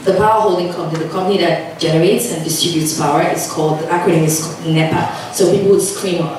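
A woman with a Nigerian accent gives a conference talk, speaking slowly and with emphasis in a fairly low voice. The sound is very echoey and picked up from a distance in a large hall, with faint background noise. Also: fairly even intonation. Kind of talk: conference presentation.

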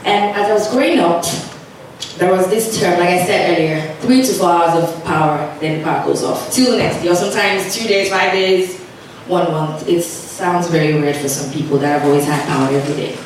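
A woman with a Nigerian accent gives a conference talk, speaking slowly and with emphasis in a fairly low voice. The sound is very echoey and picked up from a distance in a large hall, with faint background noise. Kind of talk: conference presentation.

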